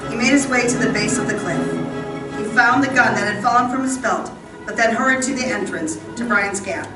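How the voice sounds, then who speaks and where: A woman's voice: a little high, fairly quick. A woman narrator reading an old-time radio adventure in a theatrical, dramatic style. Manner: theatrical, occasional stumbles in reading. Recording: roomy distant stage pickup, loud music.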